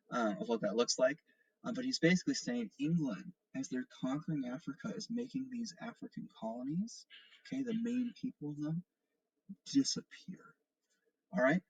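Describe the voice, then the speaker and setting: Husky-voiced man teaching a remote class. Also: medium pitch, no echo, muffled recording. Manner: explanatory, often checking in with "okay" and "all right". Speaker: seventh-grade teacher. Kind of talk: remote lesson instructions and read-aloud.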